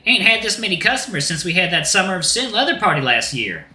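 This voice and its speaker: A man's voice: high voice, very animated, fast talker, bright, forceful tone, roomy sound, pitched above the performer's own voice, heavily acted. A man voicing a flamboyant, sassy rooster drag queen bar owner with a folksy, effusive Southern American accent. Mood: sassy, welcoming. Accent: put-on American Southern. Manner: folksy, effusive, uses terms of endearment.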